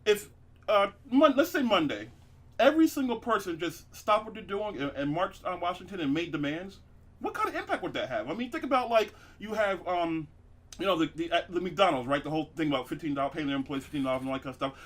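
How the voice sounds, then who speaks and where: A man's voice: sits high, big pitch swings, quick pace, fairly bright tone. A man hosting a casual comedy podcast, rambling with many fillers and restarts and laughing at his own jokes. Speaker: podcast host.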